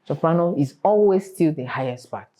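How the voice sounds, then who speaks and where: Man, vocal coach, mellow tone, emphatic and repetitive. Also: a little high, lively intonation, moderate pace. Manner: emphatic, repeats key points, sings examples. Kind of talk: teaching a vocal class.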